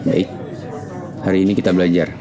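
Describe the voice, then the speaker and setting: Man acting as a very deep, stern male schoolteacher. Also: little pitch movement, brisk, gravelly, crowd noise. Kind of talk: acted visual novel drama. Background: music behind the voice.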